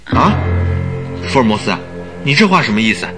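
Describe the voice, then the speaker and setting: A man playing an earnest, excitable sidekick in a Mandarin radio drama, questioning and exclaiming in an admiring, puzzled way. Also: on the low side, brisk, music in the background. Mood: anxious, questioning.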